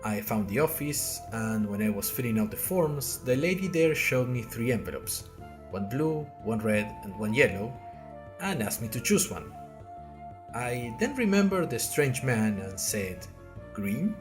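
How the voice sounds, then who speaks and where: A man's voice: medium pitch, sing-song, measured pace, music in the background. A man playing a bewildered, earnest young college newcomer, narrating an audio diary podcast in a wry, hesitant, conversational way straight to listeners. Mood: puzzled, storytelling. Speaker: narrator and podcast host character. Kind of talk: first-person audio fiction narration.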